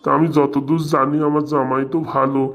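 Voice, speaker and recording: A man's voice: medium pitch, flat delivery, a little fast, a bit bright, dull audio, close to an everyday voice. A man playing a hapless middle-aged village father in a comic cartoon, humble and plaintive.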